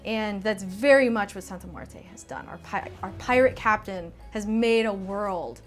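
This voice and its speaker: A woman's voice: medium pitch, moderate pace. American female creator, promo interview, middle register, light music underneath. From American Southwest. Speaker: audio drama creator.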